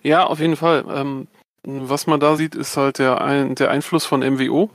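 Flat-voiced man explaining calmly. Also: medium pitch, moderate pace, no room sound, clean studio sound. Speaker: podcast guest. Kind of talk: casual chat.

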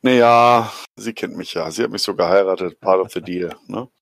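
A man with a hoarse voice chatting casually on a podcast, excited and joking as he rambles. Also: deep voice, moderate pace, no echo. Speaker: podcast co-host. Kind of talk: enthusiastic casual chat.

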